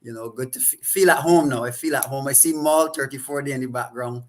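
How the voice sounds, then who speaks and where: A man in his forties with a strong Barbadian accent, speaking as an interview guest at a middle pitch. He answers reflectively, often restarting his sentences as he gathers his thoughts. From Saint Vincent and the Grenadines. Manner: reflective, halting with repeated restarts. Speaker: counselor and guest.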